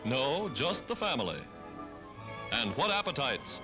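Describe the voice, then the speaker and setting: A man's voice: medium pitch, very animated, a little slow, over music. An American man narrating a 1940s newsreel in an emphatic, dramatic announcer style, on an old film soundtrack.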